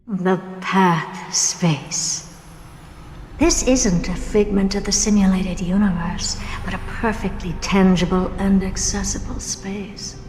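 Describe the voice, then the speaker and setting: A woman's voice: mid-range voice, darkish tone, a little hiss or hum, close to an everyday voice. An actress playing a haughty, sardonic genius woman scientist in a video game, condescending, theatrical and commanding. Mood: determined, expectant. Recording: long hall reverb with music behind.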